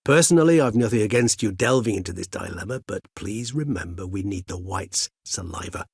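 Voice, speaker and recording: An actor playing a courteous, erudite old male vampire in a video game, speaking in eloquent, formal, measured phrases with wry philosophy. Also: lowish voice, animated, slightly rough, no room sound, studio quality. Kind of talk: video game character dialogue.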